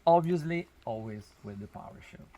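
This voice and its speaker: A man who is not a native English speaker gives an informal explanatory talk to a group in a halting, emphatic way, with non-native phrasing. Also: medium pitch, animated, dark tone. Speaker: spiritual workshop leader. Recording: noisy outdoor recording, natural ambience.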